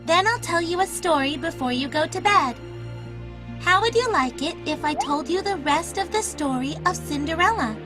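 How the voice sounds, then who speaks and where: A woman playing a polite, earnest, motherly little girl in a children's animated story. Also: extremely high pitch, normal speed, music in the background. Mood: motherly, eager.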